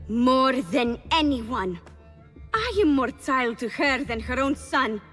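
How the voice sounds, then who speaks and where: A woman playing a cool, defiant villain's henchwoman in a video game, speaking in a deliberate, taunting way. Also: high-pitched, expressive, a bit bright, big-room sound, soft background music, heavily acted. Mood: cold warning. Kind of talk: dramatic scripted dialogue.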